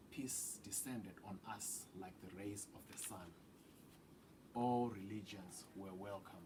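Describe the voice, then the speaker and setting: A man reading a children's picture book aloud with deliberate, dramatic phrasing that drops into hushed tones. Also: lowish voice, noisy background. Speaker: read-aloud storyteller.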